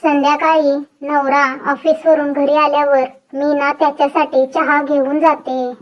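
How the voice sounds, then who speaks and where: A woman's voice: sits high, flat delivery, unhurried, lo-fi sound. A woman narrating a scripted moral story.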